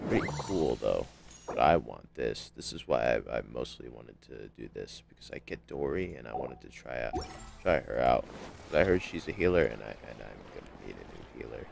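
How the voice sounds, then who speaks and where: A man streaming a video game with casual live commentary, muttering and halting as he repeats himself. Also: extremely low pitch, very animated, creaky, noisy background. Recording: game music behind the voice.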